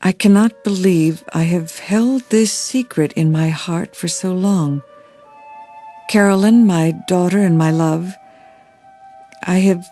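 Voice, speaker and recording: A low, dark-toned confessing mother. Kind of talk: audio drama acting. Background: sentimental theme music.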